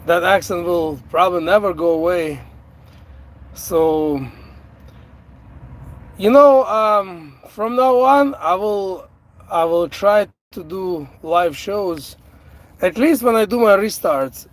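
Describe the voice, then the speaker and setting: A middle-aged man from Ukraine, a native Russian speaker with a Russian accent, chatting casually on a live stream in slow, halting speech full of fillers and restarts, recorded on a phone in a vehicle. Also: sits high. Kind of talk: casual live-stream chat, reading out viewer comments. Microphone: phone without an external microphone.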